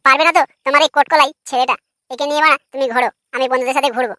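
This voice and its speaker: A woman's voice: high-pitched, steady tone, racing, pushed and bright, no room sound, studio quality. A woman voicing a cheeky, foul-mouthed, argumentative village boy in a comic cartoon. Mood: defiant. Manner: brash, argumentative, swearing.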